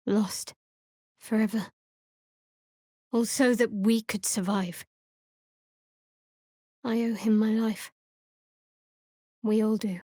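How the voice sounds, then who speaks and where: A young woman cleric of a dark goddess, in a slightly high, closely recorded dry sound. She is guarded and sardonic, delivering everything with a dry, wry edge. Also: dry recording. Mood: earnest, heavy. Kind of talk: video game character voice acting.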